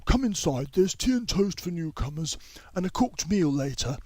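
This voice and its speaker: Man playing an anxious, eager schoolboy, raised pitch. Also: big pitch swings, moderate pace, a little mellow, no echo. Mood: friendly. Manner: eager, worried. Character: earnest, anxious young schoolboy, friendly and insistent.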